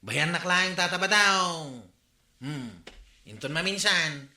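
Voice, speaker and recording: A man's voice: high-pitched, expressive, takes it slowly, pushed and bright, full character voice. An actor playing a brash, noisy male neighbor who teases and mocks his neighbors in a radio comedy drama. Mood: mocking. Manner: teasing, mocking, laughs at complaints. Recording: echoey room.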